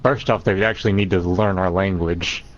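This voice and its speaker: Lower-voiced male co-host, dry delivery, muffled cheap mic. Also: fairly even intonation, fairly quick. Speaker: podcast co-host. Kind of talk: casual group chat. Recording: dull lo-fi mic.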